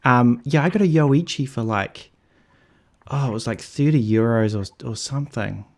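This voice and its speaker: A relaxed New man runs the roundtable over a video call. His voice sits at a middling pitch. He talks in an easygoing, laughing way. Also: normal speed. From New Zealand. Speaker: host. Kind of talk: casual roundtable chat.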